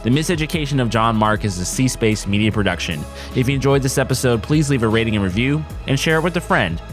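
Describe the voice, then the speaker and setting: A man's voice: medium pitch, animated, fast talker, soft background music. A man reading scripted podcast credits as an announcer.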